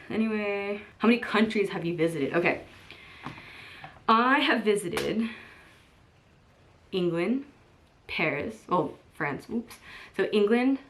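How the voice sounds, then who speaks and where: A woman in her twenties chats to camera about herself in a relaxed vlog. Her voice sits a little low, and she rambles warmly, filling gaps with "like" and breaking into small laughs. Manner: chatty, lots of "like" and "um", laughs easily. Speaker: vlogger. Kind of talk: casual get-to-know-me chat.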